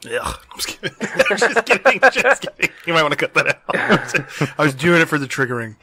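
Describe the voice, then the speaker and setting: A man's voice: a little high, sing-song, moderate pace, background noise. A man co-hosting a podcast with casual, teasing, wisecracking banter. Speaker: podcast co-host. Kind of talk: casual joking chat.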